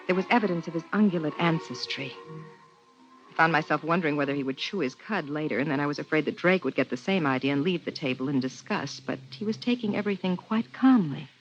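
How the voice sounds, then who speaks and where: An actress playing a polite, careful, slightly anxious wife and hostess in a 1950s radio drama. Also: female voice, low-pitched, expressive, fast. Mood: narrating, uneasy. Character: polite, proper suburban wife hosting an alien guest. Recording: muffled 1950s radio recording, faint music.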